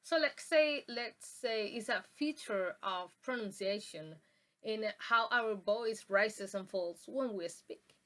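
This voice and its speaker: Halting young woman presenting a lesson. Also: mid-range voice, a bit bright. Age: young adult. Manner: halting, with many ums and uhs and restarts. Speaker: student presenter. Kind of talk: classroom presentation on pronunciation.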